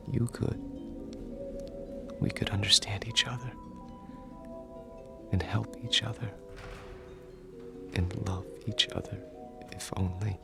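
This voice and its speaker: An actor playing a lonely, gentle, childlike man in a radio drama, tender and confiding in a breathy half-whisper. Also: lowish voice. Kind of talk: old-time radio drama acting.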